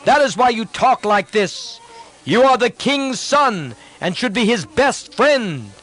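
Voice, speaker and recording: A man's voice: extremely high pitch, big pitch swings, slow, fairly bright tone, pitched above the performer's own voice, heavily acted. A man voicing a fearless, righteous older counselor who pleads earnestly with his king. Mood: pleading.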